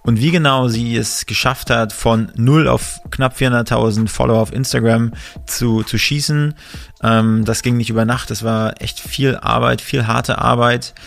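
Gravelly joking man co-host. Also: medium pitch, steady tone, moderate pace. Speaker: podcast co-host. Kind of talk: casual podcast banter.

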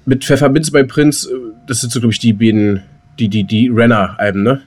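A man's voice: mid-range voice, steady tone, a little fast, a little mellow, slightly rough. A man co-hosting a podcast, chatting playfully and casually and often repeating his phrases. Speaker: podcast co-host. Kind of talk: casual banter.

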